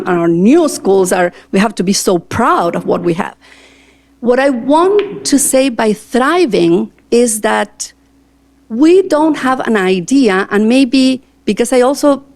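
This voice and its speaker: An expressive, talkative woman with an Argentine Spanish accent, her first language being Spanish. Her voice sits a little high as she answers interview questions earnestly and at length. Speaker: board seat candidate.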